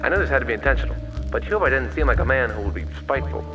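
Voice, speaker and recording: A man playing a wisecracking, hard-boiled private detective in an old-time radio drama, with a deadpan tough-guy delivery. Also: medium pitch, rapid-fire, muffled recording, natural voice. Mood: wry narrating. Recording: music behind, old radio styling.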